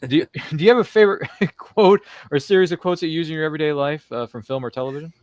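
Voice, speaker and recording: A man's voice: a little high, rapid-fire, darkish tone, no room sound. A man hosting a casual interview, chatting in a rambling way and slipping into quotes and little impressions. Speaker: show host.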